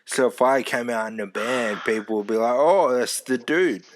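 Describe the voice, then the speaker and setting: A man with a deep, loud voice, telling animated stories in casual chat and swearing casually. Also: moderate pace. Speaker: former youth music event host.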